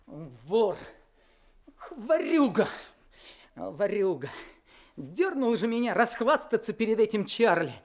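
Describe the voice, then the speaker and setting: Give me an earnest first-person narrator in a radio play, lively in pitch. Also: male voice, slow pace, pauses often, exaggerated voice. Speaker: narrator and teenage older brother.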